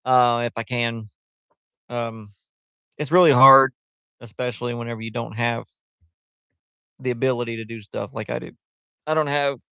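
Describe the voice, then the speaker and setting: Smooth-voiced man chatting on a livestream. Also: mid-range voice, fairly bright tone, no room sound. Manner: rambling, loose and chatty, many fillers. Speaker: livestream host. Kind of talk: casual livestream chat. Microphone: headset.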